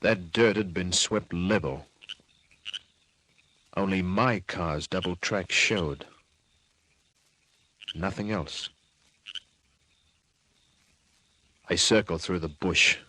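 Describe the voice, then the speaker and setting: A man's voice: low-pitched, slow, pauses often, pushed and bright, dry recording, heavily acted, rough recording. A man playing a tough, wisecracking private detective, narrating an old-time radio crime drama in terse slang. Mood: terse, guarded. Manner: terse, slangy, hardboiled delivery. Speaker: lead actor and narrator. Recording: dry old radio recording.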